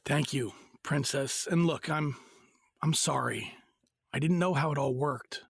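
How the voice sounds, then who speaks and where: An actor playing an earnest, questioning husband on a fantasy quest in an audio drama, with a husky, gravelly voice. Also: male voice, medium pitch, measured pace, a bit bright, no room sound, clean studio sound, full character voice. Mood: apologetic. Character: Colin, skeptical adult husband on a fantasy quest.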